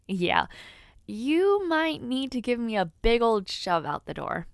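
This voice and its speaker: A woman's voice: a little high, big pitch swings, takes it slowly, no echo, studio quality, full character voice. A woman voicing a young noblewoman in an audio roleplay, playful and teasing, sometimes flustered while giving compliments. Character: teasing young noblewoman before her debut. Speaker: voice actor.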